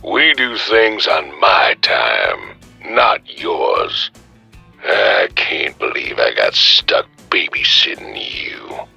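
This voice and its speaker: An actor voicing a gruff, impatient, menacing big space outlaw in a video game, gravelly, with a slow contemptuous drawl. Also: male voice, low-pitched, wildly swooping pitch, bright, forceful tone, muffled recording, soft background music, full character voice. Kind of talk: video game character voice lines.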